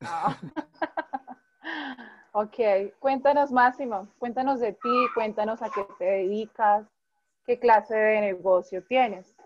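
A woman's voice: mid-range voice, slow pace, long pauses, darkish tone, a little hiss or hum. A young woman with a mid-range voice hosts the interview in an upbeat, encouraging way. She speaks from an outdoor porch over a video call. The sound is somewhat dull and muffled. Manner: upbeat, encouraging, laughs easily. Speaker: interviewer, host. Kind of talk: friendly interview hosting.

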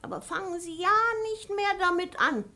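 A woman's voice: extremely high pitch, sing-song, normal speed, sharp tone, heavily acted. An actress playing a stern, sardonic maidservant in a 1950s radio play, commanding and motherly, speaking with deliberation. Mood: soothing, practical. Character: stern, bossy maidservant, scolding yet motherly and complicit. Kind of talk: 1950s German-language radio play acting.

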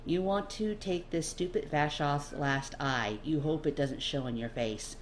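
A woman's voice: low voice, measured pace. A woman narrating a scripted second-person story expressively as an amateur audiobook reader. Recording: faint background noise.

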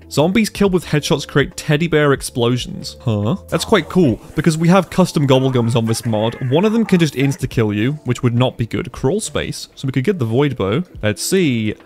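Excitable man, stuffy with a cold. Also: a little high. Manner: excitable, reacts aloud to the game. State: congested from a cold. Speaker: gaming YouTuber. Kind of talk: casual gameplay commentary.

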